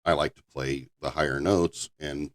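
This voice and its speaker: An older man with a very low voice, hosting a casual podcast chat, rambling and halting with frequent restarts. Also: fairly even intonation. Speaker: podcast host.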